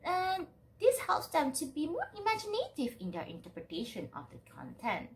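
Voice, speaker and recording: A woman's voice: high-pitched, huge pitch swings, normal speed, gravelly, slight background noise. A young adult woman giving a scripted academic presentation in an emphatic, formal delivery, as a student presenter.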